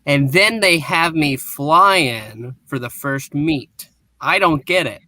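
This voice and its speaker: Guy reading an unsure everyman superhero, slow n grumbly, bright voice, dry sound, comic book bit. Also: fairly high pitch, no room sound. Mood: grumbling, sheepish. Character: self-conscious, doubtful American family-man superhero.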